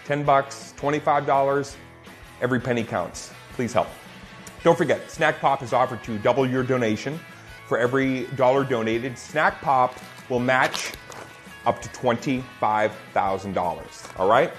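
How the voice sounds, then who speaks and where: A man's voice: mid-range voice, moderate pace, a bit bright. Man hosting a charity stream, faint music. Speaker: host of a charity table read. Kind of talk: casual hosting and fundraising appeal.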